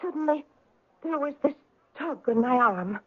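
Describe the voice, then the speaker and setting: A woman's voice: high-pitched, expressive, no echo. Feisty woman, vintage radio. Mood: wistful, coy. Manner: lilting, indignant, theatrically drawn-out storytelling. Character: feisty, evasive Irish-American woman reporting a theft. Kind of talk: old-time radio drama acting. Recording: dry vintage radio recording, muffled.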